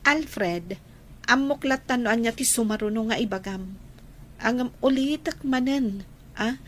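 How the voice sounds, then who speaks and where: An actress with an Ilocano accent playing a firm, fed-up young student rejecting a sweetheart in a radio drama. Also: female voice, a little high, unhurried, a bit bright, slight background noise. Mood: exasperated.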